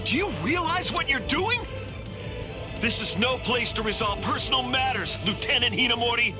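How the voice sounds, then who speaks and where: An actor playing a stern, anxious young lieutenant in an anime game, speaking with earnest emphasis. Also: male voice, extremely high pitch, lively intonation, normal speed. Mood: defiant, alarmed. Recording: loud music behind the voice.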